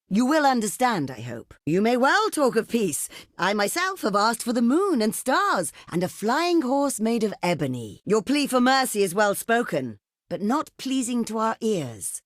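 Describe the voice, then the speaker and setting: Casting: actress as proud desert queen, video game. Theatrical, haughty, courtly diction, very animated intonation, dry close studio sound. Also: mid-range voice, normal speed, dry recording, close to an everyday voice. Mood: cool refusal. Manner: haughty, theatrical, formal and ornate phrasing. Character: proud, imperious ancient desert queen, scornful and grandly courteous. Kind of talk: video game diplomacy voice lines.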